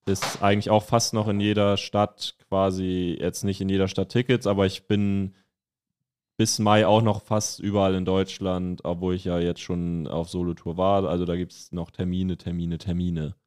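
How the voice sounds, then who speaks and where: Lower-voiced man in casual podcast chat. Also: little pitch movement, normal speed, a little mellow, smooth. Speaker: podcast host.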